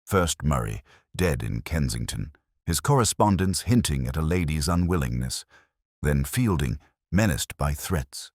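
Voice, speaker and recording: A man voicing a keen, commanding gentleman detective in an audiobook, grave and intense, with formal, deliberate phrasing. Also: rumbling low, lively intonation, no room sound, clean studio sound, heavily acted. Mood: brooding, urgent. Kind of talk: audiobook character dialogue.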